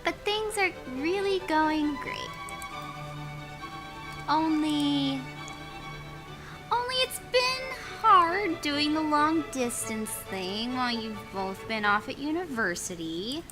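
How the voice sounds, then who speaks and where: A woman's voice: high voice, very animated, slow pace, music in the background. A woman co-hosting a casual let's play, reading a story game aloud expressively and adding amused asides.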